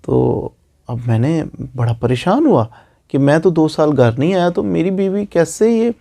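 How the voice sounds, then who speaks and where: A man's voice: on the high side, expressive, measured pace, gravelly, warm tone. A man with a Pakistani accent giving an informal legal explainer as a lawyer, repeating phrases for emphasis. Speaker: lawyer explaining law online.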